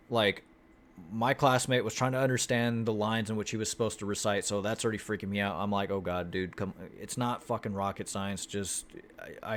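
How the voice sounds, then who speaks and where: A man telling his vlog viewers about an acting class, talking in a flat monotone, swearing freely and restarting his sentences often. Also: lowish voice. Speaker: acting student vlogger. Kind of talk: casual chat. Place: Houston, Texas.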